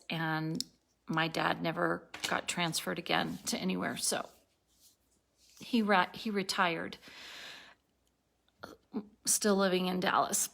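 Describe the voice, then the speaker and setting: A woman's voice: on the low side, creaky, fairly bright tone. A woman in her sixties, tired and a little spacey, rambling casually in a daily video diary and laughing at her own slips. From born in Turkey, raised abroad, lives in Colorado. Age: 60s. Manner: rambling, self-correcting, laughs at herself. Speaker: vlogger.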